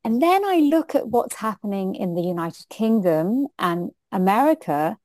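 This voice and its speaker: A woman with a British English accent whose first language is Hindi, speaking as an interviewee in a measured, slightly halting way. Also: mid-range voice, big pitch swings, a little mellow, no room sound. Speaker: interviewee, diversity consultant. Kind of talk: reflective interview talk.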